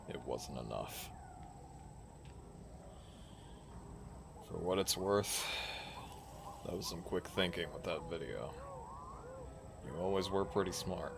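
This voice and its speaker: A man's voice: low-pitched, measured pace, long pauses. A man playing a cocky, menacing alpha werewolf and flirtatious rival in an audio drama, with a taunting, theatrical manner. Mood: subdued. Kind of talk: dramatic audio roleplay acting. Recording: clearly noisy background.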